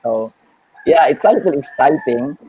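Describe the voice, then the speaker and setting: A man with a Filipino accent, a priest and new lawyer, answering interview questions in a soft-spoken, reflective way. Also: mid-range voice, animated, moderate pace, a little hiss or hum, low-quality recording. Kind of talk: conversational interview answers mixing Tagalog and English.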